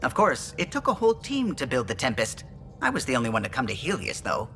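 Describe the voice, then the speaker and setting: A man's voice: medium pitch, huge pitch swings, quick pace, fairly bright tone. An actor playing a male alien starship pilot in a video game, chatty, precise and fond of reminiscing. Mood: fond, proud. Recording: music behind the voice.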